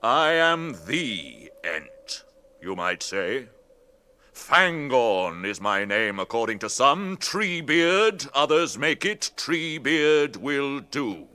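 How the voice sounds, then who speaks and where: An actor in a radio drama playing an ancient, ponderous Ent, a tree herder who speaks deliberately, humming and musing. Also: male voice, mid-range voice, big pitch swings, sharp tone, full character voice.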